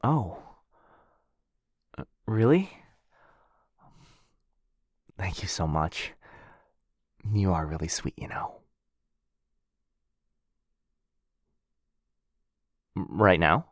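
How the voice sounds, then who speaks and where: A man playing a shy, sweet, earnest dog-person roommate in an intimate boyfriend ASMR roleplay, speaking softly and hesitantly. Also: low-pitched, very animated, no room sound, clean studio sound, exaggerated voice. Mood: hopeful, touched. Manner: soft, hesitant, halting, bashfully rambling.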